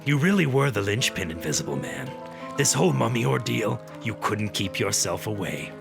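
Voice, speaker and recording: A smug radio-play villain, a coy hunter who taunts in a creaky, swooping voice over loud music. He gloats theatrically. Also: fairly low pitch, big pitch swings, normal speed, heavily acted. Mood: smug gloating. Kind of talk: radio drama acting.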